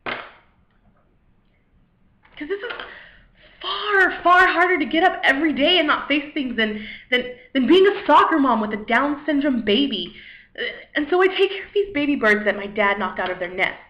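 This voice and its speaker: A woman acting a dramatic monologue as a weary, self-deprecating failed poet, anxious and rambling, in a halting, wry, conversational way. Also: medium pitch, sing-song, close to an everyday voice. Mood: bitter. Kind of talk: dramatic monologue, cold read. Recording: echoey room, dull lo-fi microphone.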